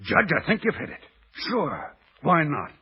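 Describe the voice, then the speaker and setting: A brash, slangy gangster boss from an old American radio play, with an American accent. The voice is rough and husky and leaps around in pitch. The recording is muffled and dated. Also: male voice, wildly swooping pitch, unhurried, full character voice. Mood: eager, excited. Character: tough, gruff, slangy New York gangster boss. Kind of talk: 1930s-40s American radio drama acting.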